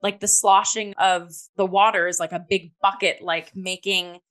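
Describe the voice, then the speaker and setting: Woman, review podcast co-host, medium-pitched voice, conversational, explains through loose strings of examples. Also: level tone, normal speed, fairly bright tone. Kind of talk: casual review discussion.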